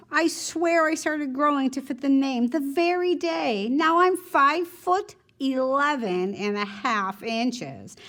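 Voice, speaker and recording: Casting: female teacher, slightly high voice, expressive read-aloud storytelling, conversational delivery. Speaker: teacher reading a novel aloud to students.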